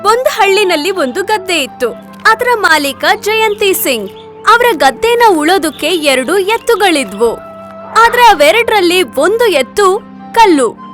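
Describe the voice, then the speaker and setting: A woman reads a children's story as narrator. Her voice is strikingly high and bright, with music playing behind it.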